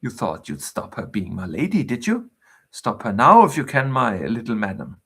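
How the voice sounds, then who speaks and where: A man's voice: mid-range voice, very animated, moderate pace, fairly bright tone, slightly rough, full character voice. A man voicing a gloating old male clockmaker villain who mutters and chuckles with menace.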